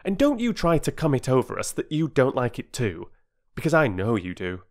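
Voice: medium pitch, sing-song, moderate pace, pitched above the performer's own voice. A narrator voicing a blunt, cocky young boy in a children's storybook. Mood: blunt, proud.

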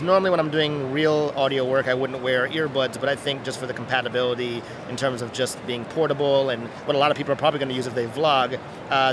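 A man's voice: on the high side, background noise. A middle-aged American man vlogging casually into a handheld phone microphone at arm's length inside a huge, busy train station hall. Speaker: product reviewer, vlogger. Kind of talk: casual off-the-cuff vlogging to camera. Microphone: handheld phone rig mic at arm's length. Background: busy station crowd ambience.

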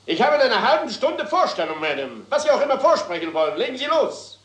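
An actor playing a pompous, impatient theatre manager in a German crime radio play, grandiloquent and condescending in delivery. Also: male voice, extremely high pitch, very animated, fast, fairly bright tone, reverberant, full character voice.

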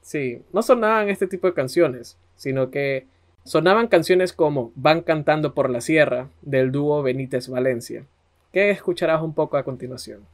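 Ecuadorian guy podcast host, kinda high voice, telling history stuff like he's chatting. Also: moderate pace. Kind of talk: conversational history narration.

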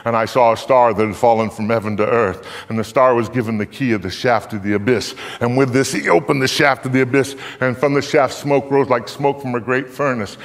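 Solemn older man declaiming in a reverberant hall. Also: medium pitch. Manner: solemn, declamatory, with deliberate pauses and swells. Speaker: dramatic reader. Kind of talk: live theatrical recitation of scripture. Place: on a stage in a curtained theater. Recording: reverberant hall, long echo.

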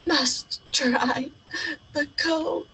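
A woman's voice: high-pitched, fairly even intonation, very slow, pushed and bright, a little hiss or hum, voice pushed up, full character voice, rough recording. A woman voicing a brave, dramatic boy who plays a space captain in a storybook. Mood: alarmed. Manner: dramatic, exclamatory. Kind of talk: storybook read-aloud character.

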